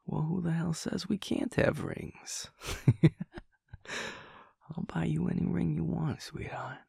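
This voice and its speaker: A man voicing an affectionate, teasing, cocky young werewolf boyfriend in an intimate audio roleplay, murmuring informally in a gravelly, husky voice. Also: on the high side, flat delivery, warm tone, dry recording, clean studio sound, exaggerated voice. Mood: teasing, fond. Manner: slow, murmuring, informal, swearing tenderly.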